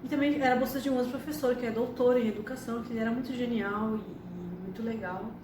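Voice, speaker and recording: A woman's voice: a little high, fairly even intonation, fast talker. Brief: woman, 50s, chatty storyteller, distant mic, noisy echoey room. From Argentina. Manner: chatty, frequent tag questions. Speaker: astrologer and psychotherapist. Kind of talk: personal storytelling to camera.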